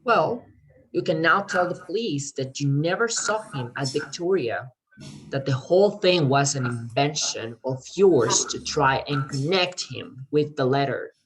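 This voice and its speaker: A woman performing in a readers theater as a crime writer, earnest and persuasive, speaking haltingly while pitching a scheme to save a friend. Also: expressive, rough recording. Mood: confident, reasoning.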